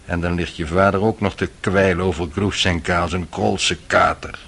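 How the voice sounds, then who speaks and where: A man's voice: deep voice, steady tone, moderate pace, vocal fry, fairly bright tone, breathy edge, full character voice. An actor playing a cynical, worldly young man in a radio drama, sly and needling in manner. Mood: mocking, insinuating. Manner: sardonic, insinuating.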